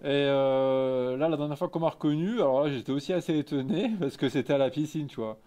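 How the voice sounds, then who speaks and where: A man in his thirties speaks with an eastern French accent in a slightly higher-pitched voice. He talks informally and candidly, full of everyday slang, like a streamer chatting with viewers from a small office. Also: fairly quick, warm tone. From Lorraine, France. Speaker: live streamer and photographer. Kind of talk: casual chat, reading and answering live chat. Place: small indoor photo studio office.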